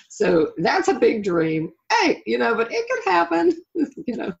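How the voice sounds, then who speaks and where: A woman's voice: on the high side, huge pitch swings, takes it slowly, vocal fry. Middle-aged woman, dull lo-fi audio. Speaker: interview guest, author and life coach. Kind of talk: casual interview chat.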